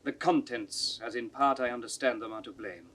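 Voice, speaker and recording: A man's voice: mid-range voice, steady tone, a bit bright, slight background noise, natural voice. A man acting a scheming, bitter young villain. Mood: feigned reluctance. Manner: theatrical, deliberate, drops into conspiratorial whispers. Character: scheming, bitter young bastard son of a nobleman. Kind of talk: classical Shakespearean stage acting. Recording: 1960s studio audio drama recording.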